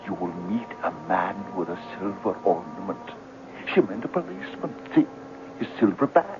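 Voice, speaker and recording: An actor playing an anxious, fate-obsessed best friend who coaxes hesitantly and theatrically in a 1970s radio drama. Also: male voice, low voice, sing-song, moderate pace. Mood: earnest, persuading. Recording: muffled, hissy 1970s radio recording.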